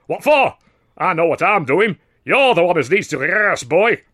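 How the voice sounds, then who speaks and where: A man voicing a loud, boorish, burly male comedy star, barking and sneering. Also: high voice, sing-song, normal speed, voice pushed up, full character voice. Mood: contemptuous.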